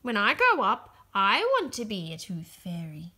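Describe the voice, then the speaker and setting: A woman voicing an excitable, curious young girl piglet in a children's storybook, eager and full of questions and exclamations. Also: medium pitch, unhurried, slightly rough, heavily acted. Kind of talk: children's storybook read-aloud.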